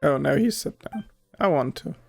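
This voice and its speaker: A man's voice: on the high side, animated, normal speed, creaky, faint music behind. A man giving casual, offhand commentary while he plays a video game. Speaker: gameplay streamer.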